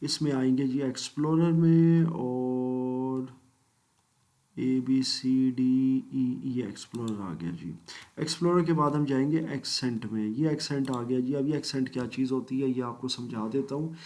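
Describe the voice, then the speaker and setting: A man teaching a screen-recorded software tutorial, walking through each step methodically with polite asides and English phrases mixed in. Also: mid-range voice, a little slow. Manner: mixes English phrases into speech. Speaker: computer course instructor.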